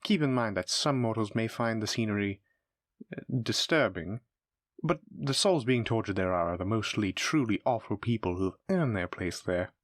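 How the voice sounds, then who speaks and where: A man's voice: lowish voice, moderate pace, dry recording, studio quality, close to an everyday voice. A man playing a polite, eager demon front desk clerk in a scripted roleplay, with formal, effusive hospitality patter. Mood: cheerful, informative. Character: courteous, eager demon hotel receptionist, smooth salesman. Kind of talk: scripted roleplay audio addressed to the listener.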